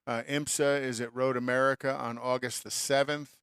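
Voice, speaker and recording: Clear-voiced folksy man on car talk. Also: medium pitch, flat delivery, normal speed, fairly bright tone, no room sound, clean studio sound. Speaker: co-host of an automotive radio show. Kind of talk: casual panel chat.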